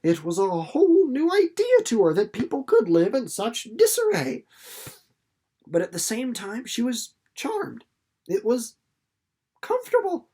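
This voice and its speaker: A narrator reading a children's novel aloud in an expressive, deliberately phrased storytelling way. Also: mid-range voice, slightly rough. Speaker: audiobook reader.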